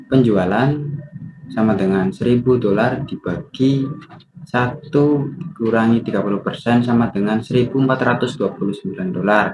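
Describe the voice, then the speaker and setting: A young man, a student presenter, reading out a worked example. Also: on the low side. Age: young adult. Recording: clear background noise.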